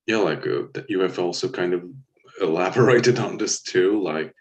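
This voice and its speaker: A man with a low voice hosts a casual spiritual livestream, sounding a little distant from the microphone. He rambles loosely, peppering nearly every phrase with "like". The sound is easygoing and conversational. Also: moderate pace, highs cut off. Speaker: host.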